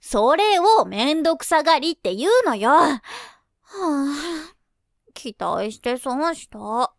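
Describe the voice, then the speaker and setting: A woman's voice: high-pitched, sing-song, takes it slowly, creaky, dry recording, studio quality, heavily acted. A woman playing a confident, teasing teenage schoolgirl idol in an anime-style Japanese voice drama, with poised, coaxing feminine speech. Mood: exasperated. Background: light tinkling children's music.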